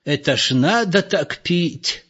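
A man's voice: a little high, expressive, slow pace, fairly bright tone, a little hiss or hum, voice pushed up. A man voicing a gossipy old village woman in an audiobook, exclaiming and marvelling in colloquial speech. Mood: gossipy wonder. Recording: muffled, lo-fi recording.

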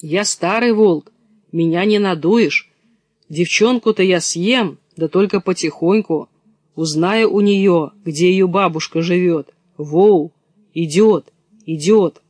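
A man voicing a menacing, boastful old wolf in a gruff, self-satisfied manner. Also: high-pitched, slow pace, no room sound. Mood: smug, scheming.

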